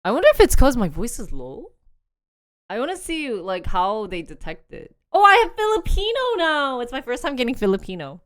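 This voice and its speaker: A young woman with a Korean accent, chatting on a casual live stream, animated and laughing often as she reads sample sentences aloud. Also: a little high, normal speed.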